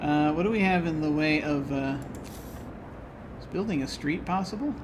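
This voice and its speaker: A man casually thinking aloud while playing a strategy game, halting and chuckling to himself. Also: fairly high pitch, noisy background. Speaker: gameplay commentator. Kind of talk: casual let's-play commentary.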